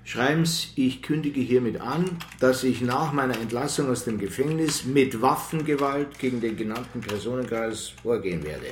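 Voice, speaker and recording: An actor playing an officious, indignant senior police official, insistent and bureaucratic, in a radio crime drama. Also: male voice, mid-range voice, moderate pace, few pauses, roomy sound, a little hiss or hum, natural voice. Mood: officious insistence.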